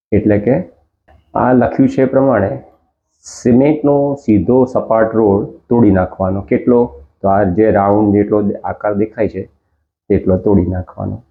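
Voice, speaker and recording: Low-voiced man narrating a demo. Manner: slow, explanatory, walking through a demo. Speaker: host. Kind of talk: narrated screen demonstration. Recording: tinny phone-like sound.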